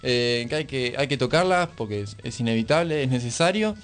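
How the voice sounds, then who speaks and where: A male radio host speaks with a Buenos Aires accent in a mid-pitched voice. His talk is loose, slangy and conversational, with music faintly behind him. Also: expressive, a little fast, clean tone. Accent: Argentine, Buenos Aires. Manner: informal, colloquial, slangy. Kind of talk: casual live radio chat.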